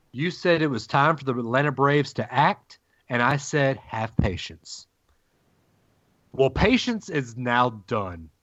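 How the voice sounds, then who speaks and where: Podcast co-host, emphatic ranter, dry close mic, muffled lo-fi audio. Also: male voice, medium pitch, a bit bright, dry recording. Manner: emphatic ranting, dramatic pauses. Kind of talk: casual sports podcast banter.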